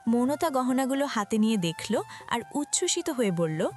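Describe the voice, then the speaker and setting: Higher-pitched woman narrating romance. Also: sing-song, moderate pace, darkish tone, faint music behind. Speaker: story narrator. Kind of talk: scripted narration of a romantic story.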